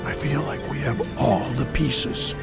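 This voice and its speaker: A man plays a brooding masked crime-fighter in an audio drama. His voice sits at a middle pitch, thick with gravel and fry, terse and deliberate, with loud music underneath. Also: fairly even intonation.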